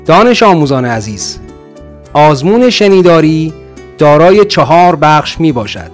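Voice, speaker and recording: Fast formal male exam announcer over music. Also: on the high side, sing-song.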